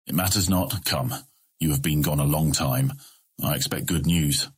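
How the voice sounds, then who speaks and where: An actor playing a stern, impatient fantasy guildmaster in an audiobook drama, curt and commanding. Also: male voice, a bass voice, moderate pace, slightly rough.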